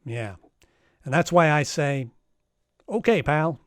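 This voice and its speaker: An actor playing a tough, wry private detective in a 1940s-style radio drama, narrating and trading lines. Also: male voice, a little high, lively intonation, takes it slowly, dry recording, studio quality. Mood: cool, needling.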